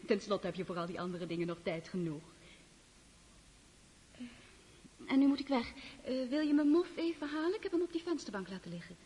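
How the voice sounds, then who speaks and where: An actress in a Dutch radio drama playing a gentle, coaxing aristocratic lady who pleads softly and hesitantly. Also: mid-range voice, lively intonation, a little fast, long pauses, natural voice. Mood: tender. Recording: reverberant, hissy old radio play recording.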